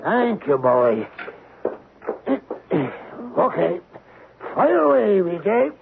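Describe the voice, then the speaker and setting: A middle-aged man playing a gruff, wary burglar father in old-time radio drama, scolding in folksy exclamations. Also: high voice, huge pitch swings, very slow, heavily acted. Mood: gruff, cautious. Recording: noisy, narrow-band old radio broadcast.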